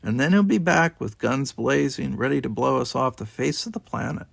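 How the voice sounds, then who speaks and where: Man playing young male cadet, calm, technical, matter-of-fact, unflappable, gravelly, audiobook character. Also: medium pitch, moderate pace, dry recording, voice pushed up, full character voice.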